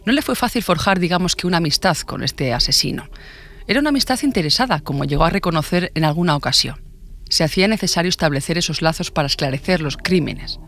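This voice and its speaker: A man's voice: on the high side, fairly bright tone. A man with an accent from Spain, reading scripted true-crime narration for a podcast. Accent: Spanish, from Spain.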